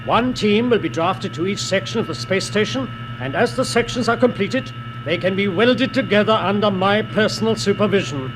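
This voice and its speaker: An actor playing a coolly confident, villainous spaceship commander in 1950s radio drama style, speaking with measured, precise authority. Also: male voice, high-pitched, lively intonation. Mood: confident, planning. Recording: noisy old radio broadcast recording.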